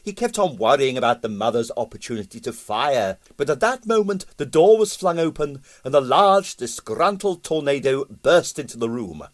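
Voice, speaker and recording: A man narrating a comic novel as an audiobook, with dry, expressive storytelling. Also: medium pitch, normal speed, few pauses.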